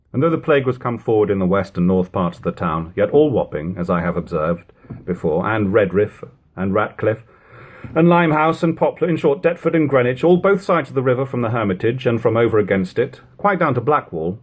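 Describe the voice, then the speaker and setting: Expressive man narrating, lower-pitched and husky. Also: fairly quick. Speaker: amateur audiobook reader. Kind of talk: expressive narration of an old novel. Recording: lo-fi home recording.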